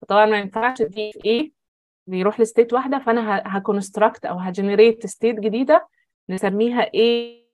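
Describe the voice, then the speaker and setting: A woman's voice: mid-range voice, fairly even intonation, normal speed, darkish tone, dry recording, muffled recording. A woman with an Egyptian accent, explaining a compiler lesson step by step on a video call. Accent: Egyptian Arabic. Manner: explains step by step, thinking aloud. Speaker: teaching assistant. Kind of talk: online lecture.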